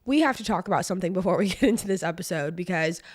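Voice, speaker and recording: A young woman hosting her own podcast in casual solo chat, her voice hoarse from a cold, talking with lots of "like" and "um". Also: lowish voice, expressive, very fast, no echo, studio quality. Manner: chatty, lots of "like" and "um". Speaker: podcast host.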